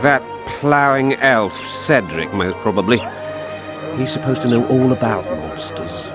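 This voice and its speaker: A man's voice: mid-range voice, lively intonation, unhurried, music in the background, natural voice. Man playing a surly, swooping town official. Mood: irritated. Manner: grumbling, blunt. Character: surly, harried, coarse male town official paying for monster contracts.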